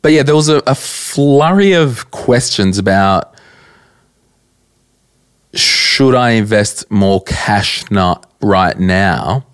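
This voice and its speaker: A young Australian man with a croaky voice hosting a casual solo podcast at his desk, answering listener questions bluntly and chattily. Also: on the low side, lively intonation, slow, no room sound, clean studio sound. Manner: chatty, blunt, rambling with fillers. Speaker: podcast host. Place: at a desk, indoors.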